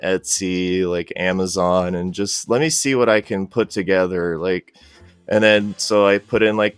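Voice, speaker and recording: Low, smooth-voiced man chatting casually. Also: no room sound. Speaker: podcast guest, cosplayer. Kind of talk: casual interview chat.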